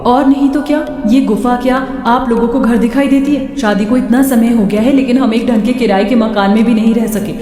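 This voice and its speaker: A woman's voice: on the high side, fairly even intonation, brisk, hardly any pauses, warm tone, lightly acted. A woman voicing village housewives in a cartoon story, theatrical and complaining. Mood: plaintive. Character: poor village daughters-in-law and neighbours, dramatic and plaintive. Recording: very echoey hall reverb. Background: faint music.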